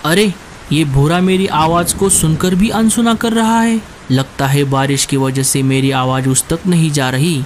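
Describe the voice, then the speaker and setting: A man voicing a worried male cartoon bird father in expressive, dramatized Hindi story dialogue. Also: on the high side, a little slow, close to an everyday voice. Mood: calling out. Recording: clearly noisy ambient background.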